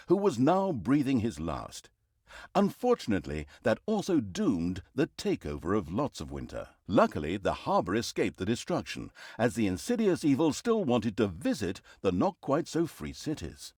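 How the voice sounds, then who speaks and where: A sardonic storyteller narrates a villain's deeds for a fantasy video game. His pitch swoops dramatically between mock grandeur and droll sarcasm. The sound is dry and close, with no room around it. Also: darkish tone, no room sound.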